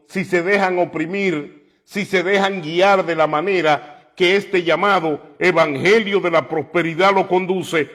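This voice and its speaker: A man preaching on the radio, slow and emphatic, climbing to loud, impassioned peaks. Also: high voice. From New York. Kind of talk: preaching commentary.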